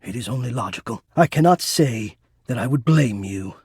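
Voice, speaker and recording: A man's voice: mid-range voice, animated, normal speed, darkish tone, husky, dry recording, studio quality. An actor voicing a lovesick, anxious male spirit of the air vents in a video game, with intense, theatrical and rather formal delivery. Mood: despairing, self-pitying. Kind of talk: dramatic romantic video game voice acting.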